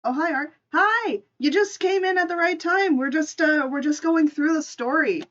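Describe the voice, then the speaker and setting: A woman's voice: sits high, moderate pace, a bit bright. Casual woman streamer, slightly dull audio. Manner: informal, chatty, loosely improvised. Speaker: streamer telling a story while drawing. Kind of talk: casual livestream storytelling and chat.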